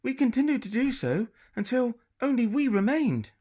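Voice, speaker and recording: A woman's voice: big pitch swings, moderate pace, mellow. A man voicing a young woman feigning polite, upper-class English manners with little sobs. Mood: demure. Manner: polite, feigned innocence, high and sobbing.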